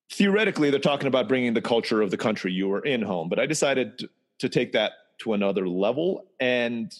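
A man who grew up in Turkey, telling his life story in a relaxed, conversational way, sometimes restarting and repeating words. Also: lowish voice. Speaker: interview guest. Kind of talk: storytelling personal history.

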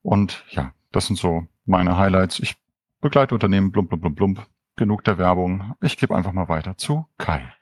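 A man's voice: sits low, expressive, moderate pace, no room sound, clean studio sound. A man on a panel, a team coach, who speaks thoughtfully and builds his points step by step. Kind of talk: panel discussion.

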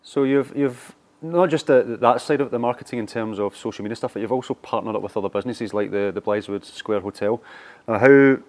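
A man's voice: mid-range voice, fairly quick, darkish tone. A man interviewing a guest, giving brief encouraging prompts and asking questions with restarts.

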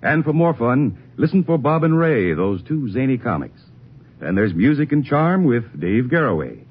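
A man's voice: medium pitch, sing-song, normal speed, breathy edge. A male network announcer reading an upbeat 1950s promo for daytime radio programs. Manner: upbeat, sing-song sales delivery. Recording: muffled old radio broadcast.

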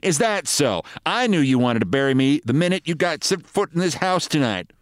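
A man voicing a sharp-tongued middle-aged wife who scolds and mocks her husband. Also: on the high side, very animated, normal speed, pitched above the performer's own voice. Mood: scornful. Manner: scolding, sarcastic.

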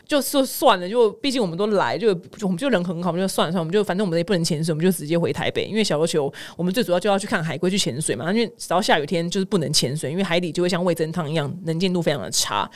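Fast-talking Taiwanese woman podcast host. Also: fairly low pitch. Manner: chatty, playful, opinionated. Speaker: host of a news commentary podcast. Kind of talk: casual comedic news commentary with personal anecdotes.

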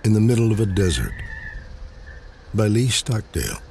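A man reading a literary poem aloud with measured, deliberate phrasing. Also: lowish voice, sing-song, vocal fry, dark tone, slight background noise. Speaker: poetry reader.

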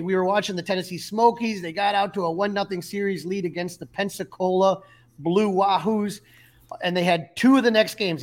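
A man co-hosting a sports podcast, his voice sitting a little high for a man. He rambles through stories and game recaps in a loose, filler-heavy way. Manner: storytelling, lots of fillers. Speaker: podcast co-host. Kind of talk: casual sports chat.

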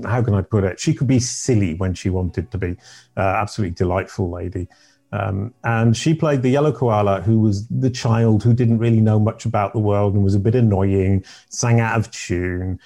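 A middle-aged man from Yorkshire with a deep voice, telling his life story as a podcast guest in a rambling, self-correcting way. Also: warm tone. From Yorkshire, England. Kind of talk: casual interview storytelling.

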